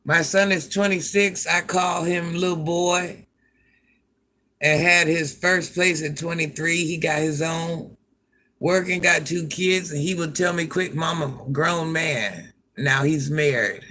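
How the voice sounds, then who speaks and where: Deep-voiced granny streamer, sassy, bright forceful delivery. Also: female voice, steady tone, slow. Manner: sassy, talks back to commenters by name. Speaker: livestream host. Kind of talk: casual livestream chat.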